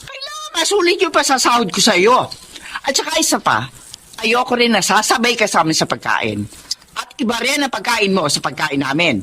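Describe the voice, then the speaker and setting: An actress playing a haughty middle-aged Filipina household mistress in a radio drama, scolding and domineering. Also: female voice, on the high side, wildly swooping pitch, fairly quick, sharp tone, slight background noise, exaggerated voice. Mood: stern, bossy.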